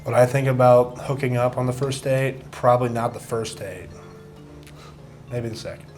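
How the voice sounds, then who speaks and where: A young adult man talks in a casual, conversational style as a dating contestant. His pitch sits in the middle of the male range, and soft music plays behind him. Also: fairly even intonation, moderate pace. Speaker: blind date contestant. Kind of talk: casual chat.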